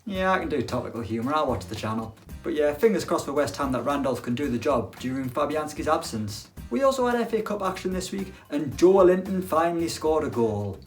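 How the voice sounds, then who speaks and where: Lively, self-mocking lad. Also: male voice, fairly high pitch, fairly quick, faint music behind. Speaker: football presenter. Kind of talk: casual football chat to camera.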